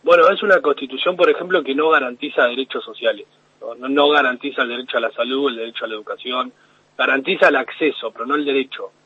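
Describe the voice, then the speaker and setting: A man explaining news analysis to a radio host as correspondent, steady and with frequent restarts. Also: medium pitch, fast talker, slightly rough. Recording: phone-line call into radio.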